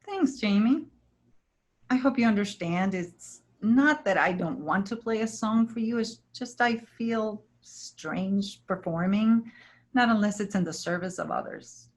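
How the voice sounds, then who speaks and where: Gentle, kind healer woman played by an actress. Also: mid-range voice, unhurried, natural voice. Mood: modest, kind. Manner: gentle, reassuring, earnest. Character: kind, principled woman healer in a travelling troupe. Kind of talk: staged play reading.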